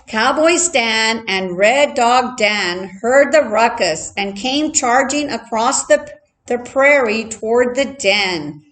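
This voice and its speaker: A woman reading a children's story aloud expressively, like a teacher, deliberate and adding playful asides for her listeners. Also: a little high, animated, a bit bright.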